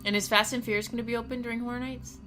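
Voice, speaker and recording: A woman's voice: a little high, steady tone, rapid-fire, sharp tone, faint music behind. A woman co-hosting a podcast in casual chat, laughing often and tripping over names as she reads an announcement aloud. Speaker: podcast co-host.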